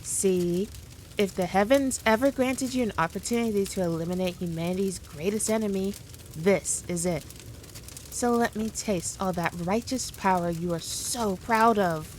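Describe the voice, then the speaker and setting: A woman playing a powerful demon queen in an ASMR roleplay, condescending, teasing and theatrical, with deliberate, drawn-out phrasing. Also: mid-range voice, a little mellow, slight background noise, close to an everyday voice, poor audio. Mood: taunting, coaxing. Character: powerful demon queen, haughty, teasing, dominant, toying with her foe. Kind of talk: scripted ASMR roleplay addressed to the listener.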